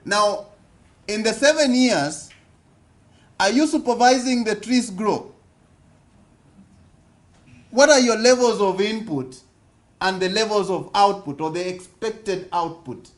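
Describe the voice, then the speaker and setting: A Kenyan man with a fairly high voice addresses a room at a business forum. He speaks slowly and deliberately, putting questions to the audience and going back over his points. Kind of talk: interactive seminar talk. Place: conference hall.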